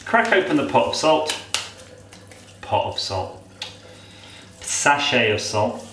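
A man's voice: medium pitch, sing-song, slow, a bit bright, a little hiss or hum. A man in his thirties with a nasal voice, chatting through a casual how-to demo in a small tiled bathroom with a camera close in front, tap water running behind. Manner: chatty, self-mocking, rambling asides. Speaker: vlogger. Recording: echoey bathroom.

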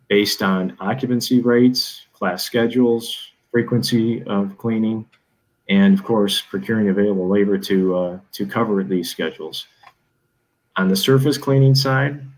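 A man's voice: on the low side, rough recording. A middle-aged man giving a businesslike facilities briefing over a video call, reading through lists with frequent ums and uhs. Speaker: facilities administrator presenting an update. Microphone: video call webcam.

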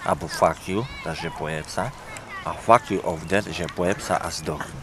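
A middle-aged man from Slovakia giving a deadpan mock lesson on crude phrases, filmed outdoors by a street. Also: deep voice, fairly quick. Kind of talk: mock lesson on swear words. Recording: old video clip with street noise. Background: traffic and urban noise.

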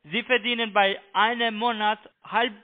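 A man with a foreign accent, not a native speaker, pushing a halting, repetitive sales pitch over the phone as a call-centre agent. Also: level tone, a crowd in the background. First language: not German. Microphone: telephone. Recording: tinny narrow phone line.

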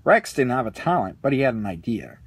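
A man reading a children's story aloud in an animated manner with a husky voice. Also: medium pitch, brisk, dry recording. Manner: animated, puts on character lines. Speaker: storybook reader.

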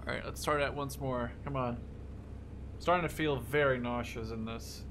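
A young man giving casual, excitable gameplay commentary, swearing freely as he reacts out loud to the game. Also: medium pitch, normal speed, slight background noise. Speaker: gaming video host. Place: small room at home, indoors.

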